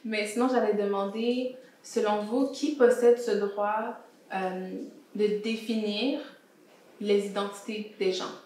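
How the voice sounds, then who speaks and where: Young woman host in a roomy salon, asks the panel questions, mid pitch. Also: steady tone, slow pace, a little mellow. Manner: friendly, guiding questions. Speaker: host and founder of a round-table show. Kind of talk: casual panel discussion. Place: indoor salon with brick walls. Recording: echoey room.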